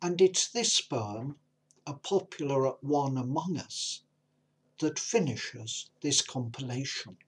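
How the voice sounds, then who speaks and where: A man introduces and reads verse very slowly, with pauses between phrases. His voice is husky and of middling pitch. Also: expressive. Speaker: compilation presenter and poetry reader. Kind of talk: measured introduction and poetry reading.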